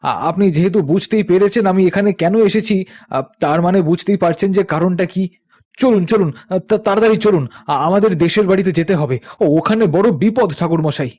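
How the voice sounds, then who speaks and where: A man voicing an eager, anxious younger man in a cartoon ghost story, respectful, stammering and hurried. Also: high-pitched, a little mellow, dry recording, studio quality, voice pushed up. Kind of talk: animated ghost-story character acting.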